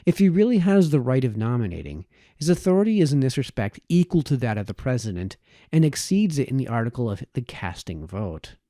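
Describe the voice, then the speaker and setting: Man reading aloud, lively pitch, mellow tone. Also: fairly quick, dry recording, studio quality. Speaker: audiobook reader. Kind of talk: read-aloud of a formal historical political essay.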